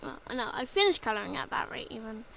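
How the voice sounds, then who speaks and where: A woman's voice: a little high, big pitch swings, quick pace, creaky, crowd noise, rough recording. A young woman narrating her gameplay in a casual voice-over, rambling and filling gaps with "like, yeah". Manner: rambling, filler-heavy, repeats "like, yeah". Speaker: gaming video creator.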